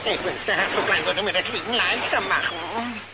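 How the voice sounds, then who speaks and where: A man's voice: sits high, normal speed. An actor playing a small, sly monster henchman in a cartoon audio drama, fawning in broken grammar. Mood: grumbling. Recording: very noisy background.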